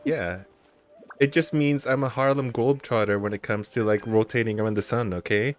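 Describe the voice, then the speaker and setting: A man in his thirties co-hosting a live stream, playful and teasing, quick to laugh. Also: on the low side, steady tone, soft background music. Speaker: stream co-host. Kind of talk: casual chat.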